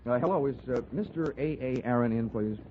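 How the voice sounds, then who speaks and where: A man's voice: mid-range voice, a little fast, background noise, natural voice. An American man playing a wisecracking hard-boiled investigator in a 1940s radio drama, sardonic and slangy as he narrates and talks. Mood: polite, businesslike. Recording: tinny 1949 radio recording, music behind.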